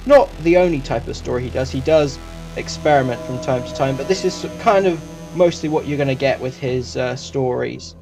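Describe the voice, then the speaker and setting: A man's voice: mid-range voice, moderate pace, vocal fry, over music, poor audio. A man hosting a review channel, reading a scripted review.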